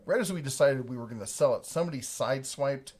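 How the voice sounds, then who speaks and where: A man's voice: mid-range voice, fast, a little mellow, breathy edge. A man casually chatting on a livestream, reading viewer comments in a laid-back, rambling, jokey way. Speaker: livestream co-host.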